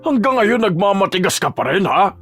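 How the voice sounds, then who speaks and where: A man's voice: sits high, big pitch swings, fairly quick, creaky, a bit bright, slightly rough, faint music behind, exaggerated voice. An actor playing a stern, wealthy older businessman father, lecturing firmly in an audio drama.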